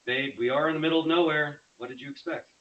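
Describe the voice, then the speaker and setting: A man's voice: fairly high pitch, fairly even intonation, fast, natural voice. A man playing an easygoing, doubtful young boyfriend who questions and teases in a screenplay table read. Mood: skeptical. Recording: hissy, dull online-call sound.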